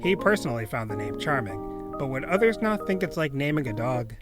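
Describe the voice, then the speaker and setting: A man's voice: medium pitch, big pitch swings, fairly quick. A man narrating a scripted story as a storyteller. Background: music.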